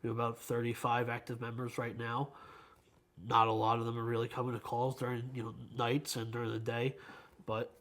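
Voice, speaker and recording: Male interview guest, fire officer type. Average pitch, unpolished everyday phrasing, plain-spoken. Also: completely flat delivery, a little fast, a bit bright. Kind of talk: casual interview answers. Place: firehouse kitchen.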